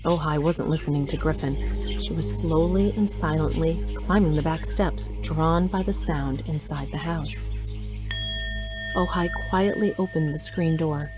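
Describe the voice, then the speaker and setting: A woman with a low voice narrates a scripted audio drama, telling the story over a clear bed of music. Her delivery is that of a storyteller reading prepared narration. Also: a little slow.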